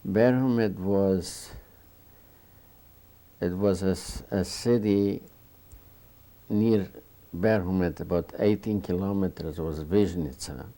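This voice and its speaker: Slow, gravelly older man, halting. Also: deep voice, warm tone. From Bukovina, Romania. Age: 70s. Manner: halting, searching for words with many restarts. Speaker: Holocaust survivor interviewee. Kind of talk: oral history interview.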